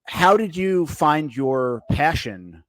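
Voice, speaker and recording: Man, forties, podcast host, measured, rambling questions, dry close headset mic. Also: on the high side, lively intonation, no room sound, low-quality recording. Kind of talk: casual interview chat. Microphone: headset, studio.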